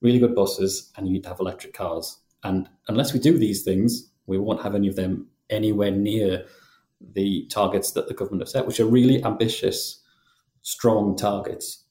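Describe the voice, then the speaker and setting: A man's voice: fairly low pitch, fairly even intonation, normal speed, warm tone, reverberant. A man with a slight Geordie accent, co-hosting a casual news podcast, talking in a chatty way with frequent restarts. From North East England. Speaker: podcast co-host, journalist.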